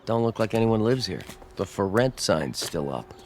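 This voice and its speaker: An actor playing a puzzled, plain-spoken delivery mover in a radio drama, questioning and colloquial. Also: male voice, lowish voice, big pitch swings, moderate pace, slight background noise. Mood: uncertain.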